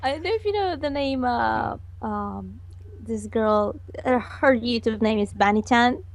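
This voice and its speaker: A woman's voice: fairly high pitch, expressive, unhurried, creaky, no room sound. A woman animator answering interview questions, hesitant and giggly, with many fillers. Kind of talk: casual online interview.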